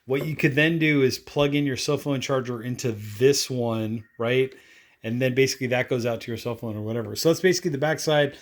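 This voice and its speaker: A man with a mid-pitched voice talks through a product demo as if chatting off the cuff. He rambles in an offhand, casual way, dropping in little tag questions and stock phrases as he goes. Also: steady tone, a little fast. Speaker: product reviewer. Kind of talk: casual unscripted product demonstration.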